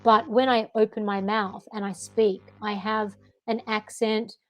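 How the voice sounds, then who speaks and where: A woman's voice: mid-range voice, flat delivery, measured pace, soft background music. A woman with an Australian accent, tutoring English in an online session and explaining patiently and encouragingly.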